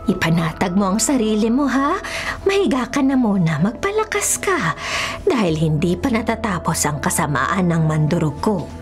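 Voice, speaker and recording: A woman's voice: mid-range voice, very animated, moderate pace, lightly acted. Actress as soothing older Filipina mother. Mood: tender, reassuring. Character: caring, reassuring older mother and neighbour. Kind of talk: radio drama acting. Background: dramatic music.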